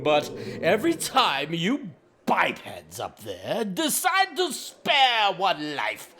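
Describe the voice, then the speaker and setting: A haughty alien director in a comic audio drama. The delivery is slow and grand, with huge swoops in pitch. Everything comes out theatrical, fussy and exasperated. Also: fairly bright tone, heavily acted.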